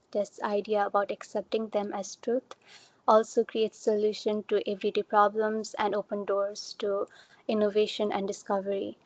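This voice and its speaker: A woman's voice: mid-range voice, a little mellow, no room sound, dull audio. A young woman from Nepal giving an online talk, explaining things step by step with simple examples. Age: young adult. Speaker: student researcher giving a talk. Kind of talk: online presentation. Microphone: computer mic on a video call.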